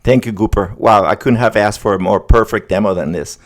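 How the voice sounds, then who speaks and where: A man's voice: fairly low pitch, fairly quick. A man hosting a casual, conversational demo, talking viewers through each step as he goes. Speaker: host demonstrating an AI agent setup. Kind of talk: casual screen-demo narration.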